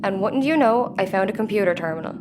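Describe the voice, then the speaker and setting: A woman's voice: mid-range voice, lively intonation, quick pace, noisy background, natural voice. A woman playing a cheeky, defiant young girl with a brash, casual manner in a sci-fi audio comedy. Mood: cocky.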